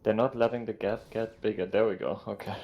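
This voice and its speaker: A man's voice: fairly low pitch, steady tone, a little fast, highs cut off, noisy background. A man chatting casually as co-commentator on a live video game match. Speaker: esports co-commentator.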